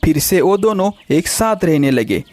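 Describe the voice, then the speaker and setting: A man's voice: fairly high pitch, big pitch swings, moderate pace, a little mellow, no echo. A man narrating a scripted children's cartoon story as the storyteller.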